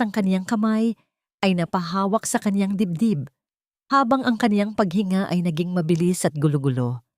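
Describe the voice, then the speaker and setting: Female narrator for dramatic fiction: low register, solemn measured delivery, very dry close sound. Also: darkish tone, no echo. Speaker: story narrator. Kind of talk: dramatic scripted story narration.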